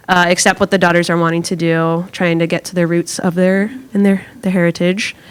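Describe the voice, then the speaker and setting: A woman's voice: on the low side, clear, resonant tone. A woman guest getting over a cold, a little phlegmy, chatting and joking casually about the show she stage manages. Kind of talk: casual live chat.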